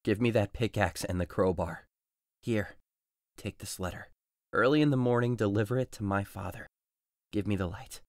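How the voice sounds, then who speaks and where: A man playing a desperate, grief-stricken young husband in a dramatic audio drama, intense and swinging between hushed menace and anguish. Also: fairly low pitch, normal speed, pauses often, no room sound, clean studio sound, natural voice. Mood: commanding, menacing. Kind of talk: dramatic audio drama acting, modernized Shakespeare.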